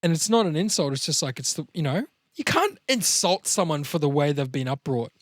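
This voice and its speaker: Australian man chatting, slightly higher voice. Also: sing-song, brisk, no echo, studio quality. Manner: rambling, sweary, digressive storytelling. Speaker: podcast host. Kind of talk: casual podcast banter.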